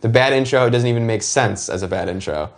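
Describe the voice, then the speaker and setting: A man's voice: mid-range voice, fairly quick. A man who hosts a show, giving informal commentary over video with loose, occasionally crude banter. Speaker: show host.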